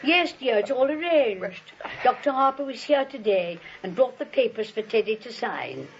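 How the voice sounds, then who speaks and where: An actress playing a sweet, unflappable elderly aunt, genteel and matter-of-fact, in a 1940s screwball comedy style. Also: female voice, fairly high pitch, lively intonation, moderate pace, a little hiss or hum. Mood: placid, matter-of-fact. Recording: muffled, dull vintage 1940s soundtrack.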